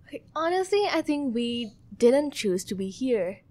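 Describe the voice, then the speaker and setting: A woman's voice: a little high, sing-song, moderate pace, dark tone. A teenage girl from Thailand, a guest on a school radio show, speaking in an upbeat, casual way. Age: teens. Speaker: exchange student guest.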